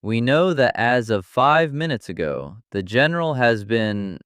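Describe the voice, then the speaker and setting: A confident operative acted by a man laying out a threat in an audio mystery. He is unhurried and emphatic, with a swinging, mid-pitched voice. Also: big pitch swings, fairly bright tone, clean tone, no room sound, clean studio sound. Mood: confident, threatening. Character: confident male operative delivering a threatening ultimatum.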